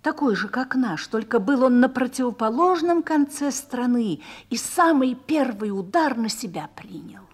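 A woman's voice: a little high, animated, moderate pace, hardly any pauses, husky. A woman acting in a radio play as an older officer's wife, reminiscing tenderly and wistfully. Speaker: actor in a radio drama.

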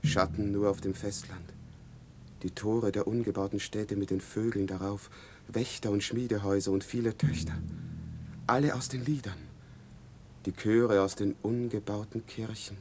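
A man's voice: fairly low pitch, steady tone, normal speed, a little hiss or hum, lightly acted. An actor playing a dreamy condemned soldier who reports lyrically and deferentially to his captain in a poetic radio drama. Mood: dreamy, wistful.

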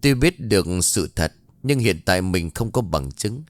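A man's voice: low voice, lively intonation, normal speed, dry recording. A man doing a solemn old blind fortune teller. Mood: grave.

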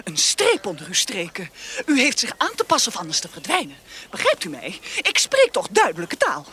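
An actress playing a haughty, indignant countess in a period audio drama, imperious, formal and scornful. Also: female voice, medium pitch, wildly swooping pitch, fairly quick, bright, forceful tone, a little hiss or hum. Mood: outraged scolding.

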